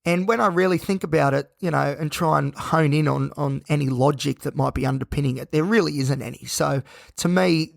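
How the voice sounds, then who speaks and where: Man, croaky hoarse voice, gravelly, fairly high-pitched, dry close sound, rambling solo podcast talk. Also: dry recording. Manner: rambling, tired, self-reflective. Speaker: podcast host.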